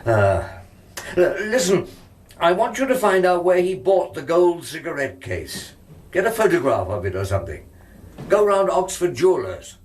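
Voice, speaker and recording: An actor playing a wry, shrewd older English defence barrister, husky and dry, narrating his case in a radio drama. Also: a little high, sing-song, darkish tone. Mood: brisk, intent. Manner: dry, sardonic, measured.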